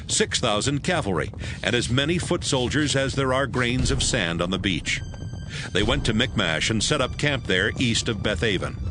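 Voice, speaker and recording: Male narrator, medium pitch, scripted scripture narration, prominent music behind.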